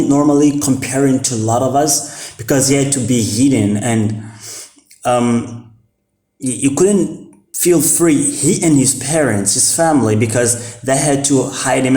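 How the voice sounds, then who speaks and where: A young Mozambican man whose first language is Portuguese, talking casually in English about a book he likes, halting and often correcting himself. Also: mid-range voice, mellow, roomy sound. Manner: halting, with fillers and self-corrections. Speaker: vlogger. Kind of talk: casual spoken book recommendation.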